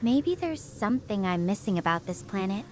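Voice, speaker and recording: A text-to-speech woman's voice playing a stranded young researcher who gives earnest, measured explanations. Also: medium pitch, expressive, darkish tone, a little hiss or hum, lightly acted. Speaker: astrophysics researcher.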